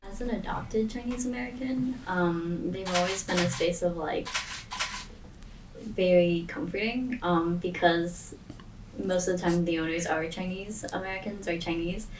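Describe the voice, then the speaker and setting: A woman's voice: on the low side, steady tone, unhurried. A young woman student from Maine, talking earnestly in a casual discussion with lots of fillers. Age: young adult. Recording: roomy, distant mic, faint noise.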